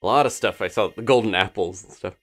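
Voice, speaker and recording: A man's voice: lowish voice, fast talker, a bit bright. A man giving casual gameplay commentary as a streamer, rambling as he thinks aloud. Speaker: game streamer.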